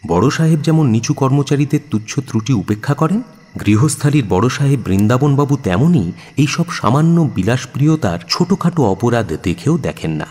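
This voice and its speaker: A man's voice: mid-range voice, expressive, normal speed, a little mellow. A man narrating a literary story in a dramatic audio-book style. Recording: long reverb, music behind. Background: music.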